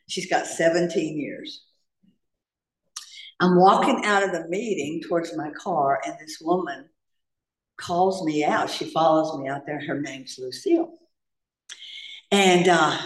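A woman tells her story to a room full of people, and her voice sits somewhat low for a woman. She jokes as she goes, a little away from the microphone, and the church hall echoes around her. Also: far from the mic. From North Carolina. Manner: humorous storytelling, laughs often. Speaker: AA meeting speaker. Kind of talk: personal recovery story. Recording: echoey hall, speaker off-mic.

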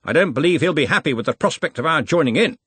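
A man voicing a blustering elderly male wizard who runs a university, with a booming, pompous manner. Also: expressive, fast talker, a bit bright, breathy edge, no echo. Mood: jovial.

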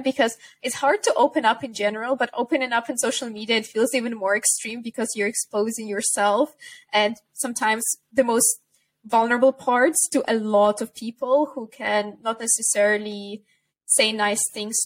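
A woman hosting a podcast, asking careful questions and praising her guest. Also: on the high side. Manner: warm, admiring, careful questioning. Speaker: podcast host. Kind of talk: interview questions.

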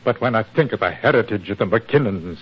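An actor playing a fierce, tormented laird of an old Scottish castle, gruff and melodramatic, in 1940s radio style. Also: male voice, lowish voice, animated, a little fast. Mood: anguished. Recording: dull 1940s radio recording.

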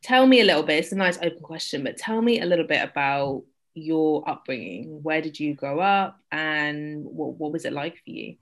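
A woman hosting an interview, asking relaxed, encouraging questions after a scripted introduction. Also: deep voice, normal speed, few pauses. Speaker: podcast host.

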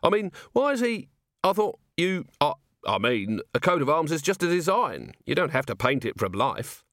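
A man's voice: a little high, sing-song, moderate pace, fairly bright tone, dry recording, voice pushed up. A man voicing a dry, somewhat awkward city watch commander in an audiobook. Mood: baffled, questioning. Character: dry, blunt middle-aged male city watch commander.

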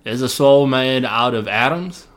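A man's voice: medium pitch, a little slow. Solo podcast host, middle-aged man from Illinois, rambling, self-interrupting, laughs between phrases. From Illinois, United States. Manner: rambling, many restarts and repeated words, thinking aloud. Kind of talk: casual solo podcast monologue.

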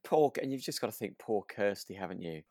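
British man, low pitch, podcast co-host, casual chat, hesitant circling phrasing, very dry close sound. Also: lively intonation, a little fast, darkish tone, dry recording. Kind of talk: casual discussion of a radio drama.

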